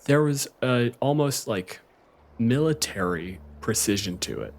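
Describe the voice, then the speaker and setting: A man's voice: medium pitch, expressive, a little slow, darkish tone. A man narrating an improvised tabletop roleplaying game as game master, in a casual, sweary way. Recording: music playing behind the voice. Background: background music.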